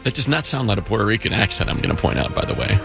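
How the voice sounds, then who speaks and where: A man's voice: fairly low pitch, big pitch swings, very fast, gravelly, over loud music. A man co-hosting sports radio, answering his partner in a dry, sardonic way. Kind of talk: bantering sports radio talk.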